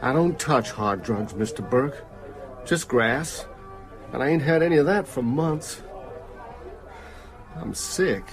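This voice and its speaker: An actor playing a sick, frightened small-town working man in a radio drama, speaking in a weary, halting, dazed way. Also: medium pitch, expressive, over music. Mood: weary, defensive.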